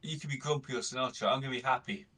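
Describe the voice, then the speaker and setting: A man's voice: mid-range voice, racing, pushed and bright. A man chatting casually as a player in a tabletop game. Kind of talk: casual chat.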